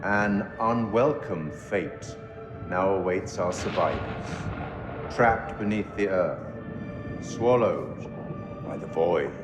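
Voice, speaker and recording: An actor playing a mysterious, sardonic curator of stories in a horror game, speaking in a formal, measured, theatrical way. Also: male voice, low voice. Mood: grave, foreboding. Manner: formal, measured, ominous, addresses listener directly. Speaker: narrator and host figure of a horror game. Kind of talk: theatrical scripted game monologue. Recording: echoey hall reverb, music behind. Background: music.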